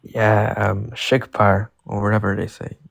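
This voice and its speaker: A man's voice: fairly low pitch, little pitch movement, unhurried, creaky, dry recording. A man from Iran in a live chat who argues bluntly and defensively, often calling people bro. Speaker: caller. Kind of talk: casual argumentative chat.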